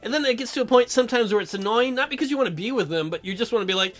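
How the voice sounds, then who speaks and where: A man's voice: very high-pitched, racing, a bit bright, faint music behind. A man hosting a let's play, making sarcastic, joking commentary as he reads through a game. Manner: wisecracking, sarcastic.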